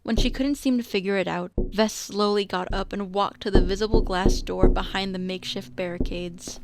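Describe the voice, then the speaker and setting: A woman's voice: medium pitch, steady tone, normal speed, heavy background noise. A woman reading scripted narration for a radio drama as its narrator.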